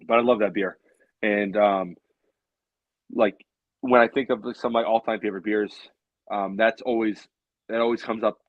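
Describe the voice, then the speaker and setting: A man's voice: on the low side, fairly even intonation, normal speed, long pauses, no echo, narrow, tinny audio. A man in his late thirties chatting casually as a show co-host, in a rambling way that repeats phrases for emphasis. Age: late 30s. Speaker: co-host of a beer hangout show. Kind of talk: casual chat.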